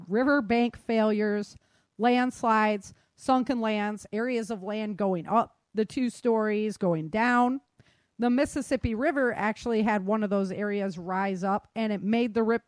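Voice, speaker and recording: An American woman hosts a casual podcast in a very dry, close recording. Her voice sits at a middling pitch. She talks things through in an explanatory way, often tagging her points with a quick check-in. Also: dry recording. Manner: explanatory, often checks in with "right?" and "you know". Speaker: podcast host and author.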